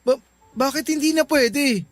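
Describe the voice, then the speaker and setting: A man playing an earnest, concerned young boyfriend in a Filipino radio drama, expressive and questioning. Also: very high voice, unhurried, no room sound, soft background music. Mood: pleading.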